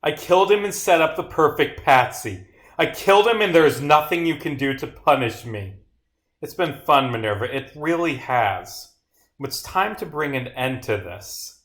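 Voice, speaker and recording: A man's voice: a little high, expressive, normal speed, fairly bright tone, reverberant. A man voicing a smug, theatrical female murderer who gloats and taunts in a visual novel game. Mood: gloating.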